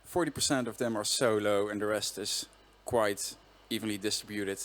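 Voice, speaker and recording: A man's voice: lowish voice, fairly even intonation, moderate pace. A man who moderates the online audience, reporting poll results in a matter-of-fact way.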